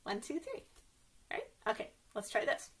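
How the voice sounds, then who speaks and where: A woman's voice: fairly high pitch, wildly swooping pitch, moderate pace, fairly bright tone, breathy edge. A woman reading a picture book aloud for young children as a story time host, playfully exclaiming and asking her listeners questions.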